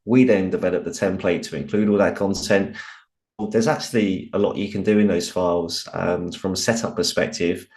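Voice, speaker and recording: A British man with a low, slightly croaky voice leads a software training webinar. He talks informally through a headset, with plenty of ums and restarted phrases. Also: steady tone, moderate pace.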